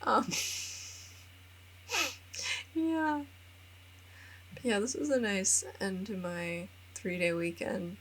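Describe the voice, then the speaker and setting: A woman's voice: mid-range voice, animated, slow, long pauses, slight background noise. Chatty young American woman vlogging. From United States. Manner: chatty, rambling, many filler likes, laughs at herself; self-deprecating, upbeat. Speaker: vlogger and English teacher in Japan. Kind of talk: casual vlog voice-over.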